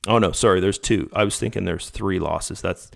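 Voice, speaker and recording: A man hosting his own channel, talking casually over his screen with a slightly hoarse voice, often correcting himself and calling viewers guys. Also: low-pitched, moderate pace. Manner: rambling, self-correcting, addresses viewers as guys. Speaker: channel host reviewing a trading bot. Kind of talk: casual screen-share commentary.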